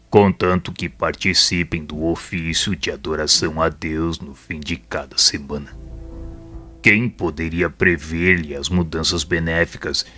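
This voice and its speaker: Man playing a very deep, solemn spirit mentor. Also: bright, forceful tone, heavily acted. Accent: Brazilian. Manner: solemn, measured preaching. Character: wise, patient male spirit mentor, compassionate and persuasive. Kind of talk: audio drama acting.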